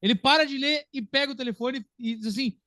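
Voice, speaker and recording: A man with a Brazilian accent hosting a casual film podcast in an animated, jokey manner. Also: very high-pitched, fast talker, no room sound, studio quality.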